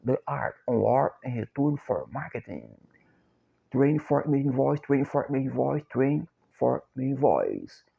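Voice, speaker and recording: A middle-aged Brazilian man with a Portuguese accent, speaking English slowly and haltingly in a repetitive, chant-like monologue. He repeats short phrases over and over like a mantra. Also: medium pitch, huge pitch swings, gravelly, slightly rough. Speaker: self-described copywriter and philosopher. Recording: dull lo-fi recording.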